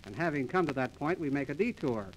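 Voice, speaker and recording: High-voiced wisecracking 1930s radio man. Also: animated, fast talker, a little hiss or hum, rough recording. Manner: mock-formal, wisecracking. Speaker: comedy duo host, announcer and straight-faced joker. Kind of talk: 1930s radio comedy patter and announcing. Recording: early 1930s radio transcription disc.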